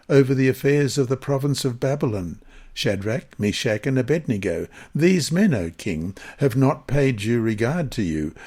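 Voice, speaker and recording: A man reads a Bible lesson aloud. His delivery is solemn and measured, with deliberate phrasing, in a voice of medium pitch. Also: darkish tone. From Queensland, Australia. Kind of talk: scripted scripture reading and narration.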